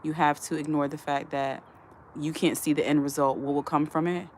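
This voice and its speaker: A woman's voice: low-pitched, fairly even intonation, moderate pace, a little hiss or hum. A woman hosting a live stream in a casual chat, reading viewer comments aloud, answering them and giving earnest advice. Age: adult. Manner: earnest, advising tone. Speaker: livestream host.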